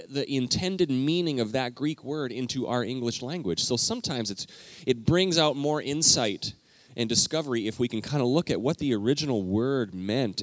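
A man preaching a conversational teaching sermon in a church, talking directly to the congregation with lively emphasis. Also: medium pitch, no room sound. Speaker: pastor. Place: church sanctuary.

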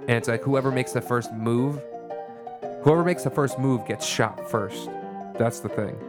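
A man's voice: mid-range voice, unhurried, music in the background. A man co-hosting a podcast, chatting loosely and rambling, often saying "dude". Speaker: podcast co-host. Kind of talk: casual chat.

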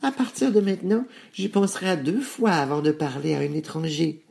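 A man plays an innocent little girl in a children's tale. The voice is pitched high and childlike, polite and full of wide-eyed wonder. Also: fairly even intonation, brisk, creaky, a little mellow.

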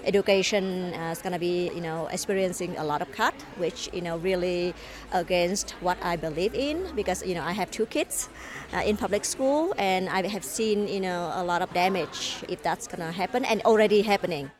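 A woman's voice: fairly low pitch, normal speed, crowd noise. A woman who talks about her concerns as a parent. She speaks hesitantly, with many fillers. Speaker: parent advocate.

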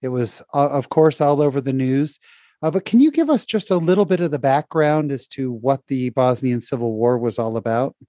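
A man hosts a podcast interview in a friendly, upbeat way, often filling pauses with "uh". His voice sits at an ordinary pitch for a man. The sound is dry and close. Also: expressive, warm tone, no room sound. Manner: upbeat and friendly, with frequent fillers. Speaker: podcast host.

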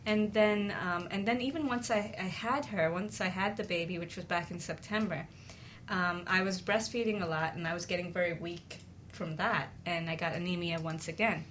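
Earnest woman with a lowish voice. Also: normal speed, fairly bright tone, slight background noise. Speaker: talk show co-host. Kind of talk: conversational personal storytelling.

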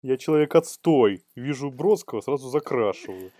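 A man's voice: mid-range voice, animated, normal speed, warm tone, dry recording, clean studio sound. A man co-hosting a comedy news show, mixing read-out items with playful, irreverent, slangy commentary. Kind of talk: news read-outs mixed with casual joking commentary.

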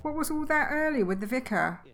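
A woman's voice: high voice, lively intonation, fairly quick, fairly bright tone, faint music behind. A woman acting a chatty, blunt, down-to-earth wife in a comedy audio drama, plain-spoken and chiding. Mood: exasperated.

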